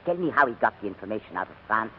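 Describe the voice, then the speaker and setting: A German army major in a 1940s radio play, interrogating with cool, formal menace. His clipped delivery swings widely in pitch. The old broadcast recording is dull, with some hiss. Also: sing-song, racing. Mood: menacing. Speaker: actor in a radio drama. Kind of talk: 1940s radio drama acting.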